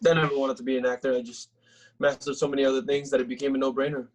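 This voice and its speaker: A man's voice: medium pitch, flat delivery, very fast, natural voice. A man playing a cocky, oblivious young actor and ladies' man in a table read of a comedy script, with a breezy, boastful manner. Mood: smug.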